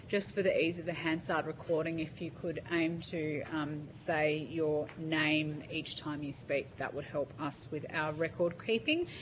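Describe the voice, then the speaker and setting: A woman's voice: low-pitched, unhurried, hardly any pauses, noisy background. A woman chairing a parliamentary committee hearing, polite and procedural.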